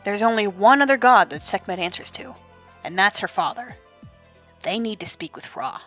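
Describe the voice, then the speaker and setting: Female narrator for mythological audio drama. Lively, swooping pitch, deliberate dramatic pauses, faint music underneath. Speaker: storyteller, narrator.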